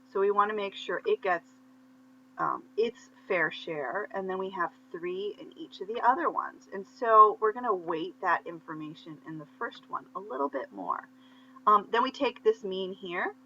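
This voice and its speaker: A woman's voice: on the low side, sharp tone. A woman lecturer explaining material step by step in a conversational teaching style, checking in with short questions as she goes. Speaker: statistics lecturer. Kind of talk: conversational instructional explanation of slides. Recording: muffled lo-fi audio with faint hum.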